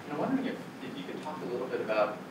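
A man in the audience asking a speaker a measured question in a soft-spoken way. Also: mid-range voice, big pitch swings. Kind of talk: question from the floor. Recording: echoey room, distant and noisy.

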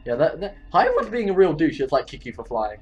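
A young man with a slightly high voice hosts a casual gaming session. He playfully coaches the player in short, repeated phrases, with faint game music behind him. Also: very animated, fairly quick. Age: young adult.

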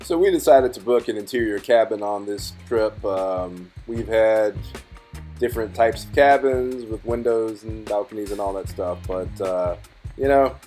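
A man's voice: lowish voice, normal speed, mellow, faint music behind. Easygoing middle-aged man vlogging aboard ship. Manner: easygoing, agreeable, with many restarts. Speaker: travel vlogger. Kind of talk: casual travel vlog chat. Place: aboard a cruise ship, outdoor deck and corridors. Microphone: handheld camera at arm's length.

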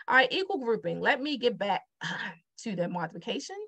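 A woman's voice: mid-range voice, lively intonation, moderate pace, fairly bright tone, breathy edge. A middle-aged woman with a Baltimore accent teaching multiplication over a digital whiteboard, chatty and repeating her questions to the viewer. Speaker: math teacher. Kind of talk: casual whiteboard math lesson.

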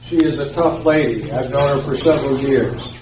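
A man's voice: mid-range voice, normal speed. An older man hosting an event, giving a casual, friendly introduction into a handheld microphone in an indoor meeting room. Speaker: event host introducing a speaker. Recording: roomy, distant, noisy recording.

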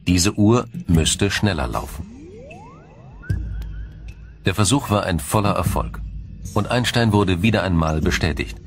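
A man reading scripted documentary narration in a measured, explanatory manner. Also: deep voice, animated, creaky, faint music behind.

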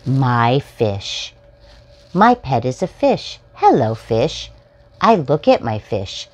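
Low-pitched woman reading slowly to young children. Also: big pitch swings. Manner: simple short phrases, deliberately spaced for preschoolers. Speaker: children's storytime host and reader. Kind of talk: children's picture book read-aloud. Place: small furnished room at home, indoors. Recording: home recording with faint background noise.

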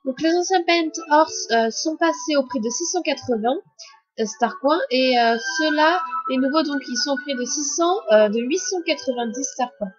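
A woman's voice: high voice, normal speed, lo-fi sound, soft background music. A young woman with a cold commenting on a game update in a chatty, hesitant way full of fillers. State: has a cold. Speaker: gaming YouTuber.

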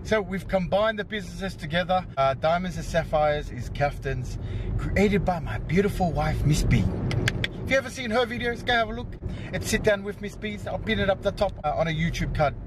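A man's voice: high-pitched, expressive, brisk, very noisy. A man in his thirties from Samoa, chatting casually to a handheld camera in an upbeat way and tagging his lines with "yo". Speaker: vlogger and salon owner. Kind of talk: casual vlog chat to camera. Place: parked car, then a hair salon. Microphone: handheld camera held close.

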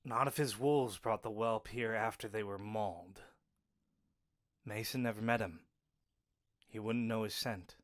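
A man's voice: fairly low pitch, measured pace, long pauses, natural voice. An actor playing a practical, level-headed male werewolf in an audio drama, reasoning things through steadily. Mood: analytical.

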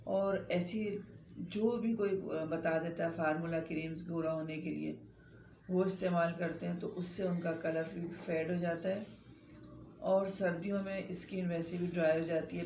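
A middle-aged woman doctor talks straight to a close phone camera, her voice hoarse from a sore throat and gravelly with fry. She explains remedies in a chatty, instructive way and holds up the products she means. The small clinic room lined with shelves sounds echoey, with a faint hiss underneath. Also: on the low side, darkish tone. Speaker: doctor giving skin-care advice. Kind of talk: direct-to-camera health advice talk.

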